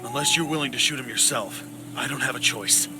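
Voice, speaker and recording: A man's voice: medium pitch, a little fast, natural voice. A man voicing a grim, desperate, insistent man in a video game. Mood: grim urgency. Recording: music behind.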